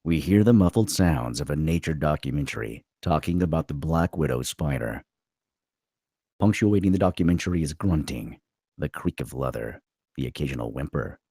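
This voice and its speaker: Man, extremely low pitch, husky and raspy, reading scene directions, dry close sound. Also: expressive, normal speed, dark tone, no room sound. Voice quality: gravelly, husky. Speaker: narrator at a script table read. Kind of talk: scripted screenplay narration.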